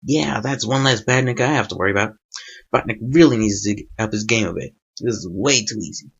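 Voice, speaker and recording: A man's voice: on the low side, brisk, gravelly, a bit bright, husky, dull audio, heavily acted. A man voicing a cocky, breezy young hedgehog hero from a cartoon tale.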